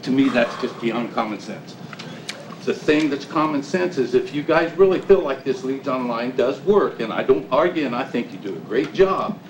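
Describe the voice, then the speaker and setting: A man's voice: mid-range voice, animated, normal speed. Man arguing at a city hearing. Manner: emphatic, repetitive complaining. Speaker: business owner speaking at public hearing. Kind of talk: public comment at city meeting. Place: small council chamber, standing before audience. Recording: echoey room with background noise.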